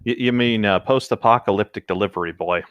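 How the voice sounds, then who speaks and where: A man's voice: lowish voice, fast talker, no room sound, highs cut off. A man bantering casually with friends on a voice call.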